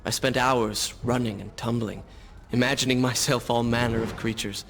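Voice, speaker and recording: A man's voice: medium pitch, level tone, moderate pace, creaky, noisy background, natural voice. Brooding young warrior prince played by a man. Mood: melancholy. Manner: solemn, dramatic. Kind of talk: dramatic video game voice acting.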